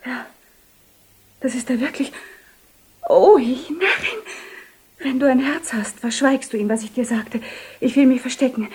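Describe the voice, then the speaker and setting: Young lovesick prostitute in a radio play, highish husky breathy voice, soulful and dramatic. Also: female voice, a little slow, pauses often, a little mellow, full character voice. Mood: anxious. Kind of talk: theatrical German radio drama acting.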